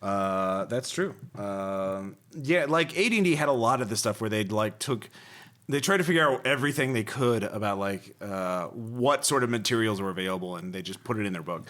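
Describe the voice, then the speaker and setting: Male host, very lively intonation, wry and jokey, casual geeky discussion. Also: on the low side, normal speed. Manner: wry, jokey, profane asides. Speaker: podcast host. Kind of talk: casual chat reviewing old gaming magazines.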